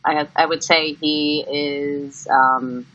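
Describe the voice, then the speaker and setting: An American woman in her early fifties giving candid, halting interview answers. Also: low voice, fairly even intonation, a bit bright. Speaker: interviewee, family member. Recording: dull, lo-fi sound.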